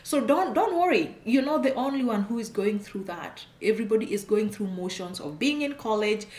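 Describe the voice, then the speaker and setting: A woman's voice: mid-range voice, animated, moderate pace, darkish tone. Kenyan lady giving straight-talk advice to camera, repeats herself, laughs a lot, roomy echo. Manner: frank, repetitive, emphatic, laughs often, gestures while talking. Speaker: immigration consultant. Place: indoor room at home, plain wall. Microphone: camera on a desk, seated facing it. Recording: echoey room.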